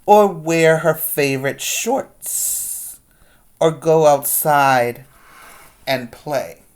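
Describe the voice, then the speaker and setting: A teacher reads a children's story aloud at a slow, deliberate pace. The story words get strong emphasis, and simple explanatory asides are spoken to young listeners. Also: medium pitch, animated.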